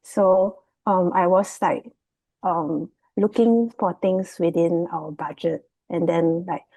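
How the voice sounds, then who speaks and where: A woman's voice: on the low side, steady tone, slow, dark tone, dry recording, rough recording. A woman from Singapore with a Singaporean accent, giving a casual, unscripted presentation in a hesitant, loosely phrased way as a guest. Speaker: guest, property investment student. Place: remote video call.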